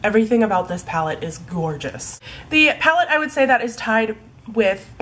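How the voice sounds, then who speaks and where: A woman's voice: a little high, very animated, normal speed, a bit bright. A young woman with a stuffy, congested voice from a cold, chatting casually about her favorite products, full of likes and ums. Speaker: beauty vlogger. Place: home room in fading daylight.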